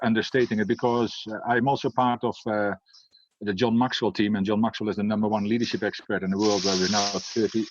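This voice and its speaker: Dutch man, low husky voice, hesitant. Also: highs cut off. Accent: Dutch, with Scottish influence. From Netherlands. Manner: hesitant, many fillers and restarts. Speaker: guest, leadership coach. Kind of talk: casual interview talk.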